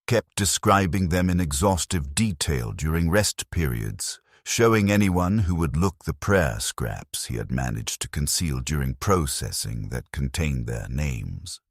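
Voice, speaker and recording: An extremely low-pitched male narrator tells a grim military sci-fi tale. His delivery is formal and detached, yet his pitch moves around in a lively way. The recording is dry and close, with no room sound. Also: a little slow, hardly any pauses, slightly rough, dry recording. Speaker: narrator of fiction stories. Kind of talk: scripted first-person narration of a grim military sci-fi story.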